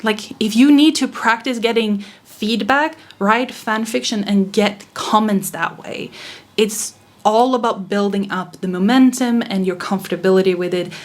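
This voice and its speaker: A woman's voice: mid-range voice, measured pace. A young woman from Sweden with a Swedish accent talks frankly and casually as a vlogger giving writing advice, laughing often and swearing now and then.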